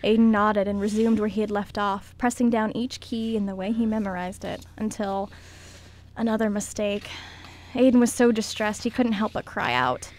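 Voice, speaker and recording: A woman's voice: medium pitch, steady tone, moderate pace, darkish tone, slight background noise. A woman reading scripted narration for a radio play as its narrator.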